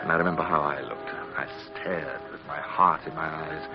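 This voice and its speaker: A man's voice: extremely low pitch, steady tone, normal speed. An actor playing an earnest young British midshipman in an old radio drama, speaking in a dramatic, clipped way. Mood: grave recollection. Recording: dull vintage radio recording, loud music.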